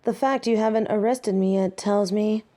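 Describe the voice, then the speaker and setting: A woman playing a flirty, teasing catgirl thief in a roleplay audio drama, coy and seductive with playfully drawn-out words. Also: mid-range voice, warm tone, close to an everyday voice. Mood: possessive, teasing.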